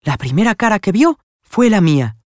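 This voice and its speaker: A man voicing a gentle, loving young lady who pleads affectionately with her cousin. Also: high voice, big pitch swings, moderate pace, dry recording, studio quality, pitched above the performer's own voice. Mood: eager.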